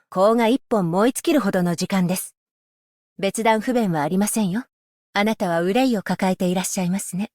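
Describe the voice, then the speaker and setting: A stern, dutiful scholar-magistrate woman with a fairly high voice, voiced by a woman, formal and measured, polite yet strict. She speaks into a close studio mic, bone dry with no room at all. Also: a little mellow, no echo, close to an everyday voice. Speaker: voice actress. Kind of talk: game character voice lines.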